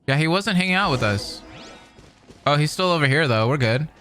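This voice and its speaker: A man's voice: fairly high pitch, expressive, a little fast, pushed and bright. A man casually reacting to a game story, chatty and joking between scenes. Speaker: reaction video host. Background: game music.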